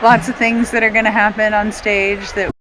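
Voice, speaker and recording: A man with a high-pitched voice chats in a casual vlog style. He explains things conversationally, like a volunteer showing someone around. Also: level tone, normal speed, music in the background, poor audio. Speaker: vlogger and show volunteer.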